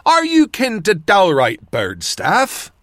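Man voicing a high-pitched, hearty old village watchman. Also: wildly swooping pitch, slow, fairly bright tone, no room sound, clean studio sound, heavily acted. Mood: hailing, curious. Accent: thick northern rustic accent of a fantasy land. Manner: hearty, folksy exclaiming. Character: old male village night watchman, friendly, rustic, excitable.

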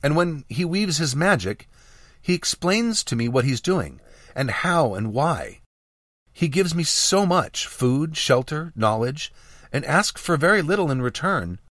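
A man's voice: a little high, big pitch swings, unhurried, fairly bright tone, dry recording, clean studio sound, pitched above the performer's own voice, full character voice. A man voicing an earnest, loyal young apprentice girl in a fantasy audiobook, with an eager, sincere manner. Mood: earnest, admiring.

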